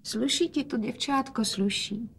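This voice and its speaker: A woman voicing a sick old father in a story, slow, wistful and resigned. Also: a little high, fairly even intonation. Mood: tender, quiet.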